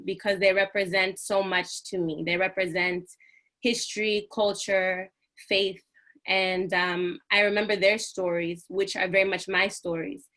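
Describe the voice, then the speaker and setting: A woman's voice: medium pitch, fairly bright tone. A young woman raised in the United States gives an earnest, reflective prepared talk on a video call, speaking like a lecturer. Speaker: panel speaker.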